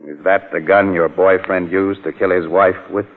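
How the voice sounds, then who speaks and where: A man's voice: low-pitched, flat delivery, moderate pace, close to an everyday voice. An actor playing a shrewd, confident newspaperman sleuth in 1940s radio drama style. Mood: confident, accusing. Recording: narrow old radio recording, faint music.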